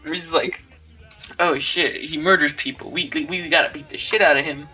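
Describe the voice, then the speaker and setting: A young man with a bright, fairly high voice chats casually on a podcast. He answers in short, halting phrases, and music plays behind him. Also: fairly even intonation, husky. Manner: halting, brief replies. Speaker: podcast co-host. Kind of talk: casual improvised fan banter.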